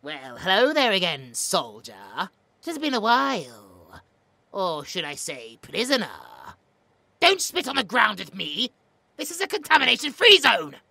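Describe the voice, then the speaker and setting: A woman's voice: mid-range voice, big pitch swings, a little slow, vocal fry, bright, forceful tone, no room sound, exaggerated voice. A woman playing a cold, imperious scientist in a horror audio drama, speaking in a clinical, theatrical way. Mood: taunting, imperious. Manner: theatrical, clinical log narration with dramatic emphasis.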